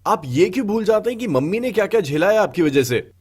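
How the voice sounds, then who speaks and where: A man voicing a hurt, pleading adult son in an animated story, speaking with earnest reproach. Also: sits high, fast talker, husky, exaggerated voice. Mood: reproachful, pleading. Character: hurt, pleading adult son confronting his drinking father. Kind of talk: animated story dialogue acting. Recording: background music under the voice.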